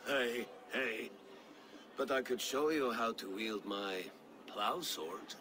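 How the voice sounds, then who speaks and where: A flirtatious Viking man at a game's feast. He talks slowly at an ordinary male pitch, laying on clumsy charm with bumbling confidence. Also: sharp tone. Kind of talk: comic game cutscene acting.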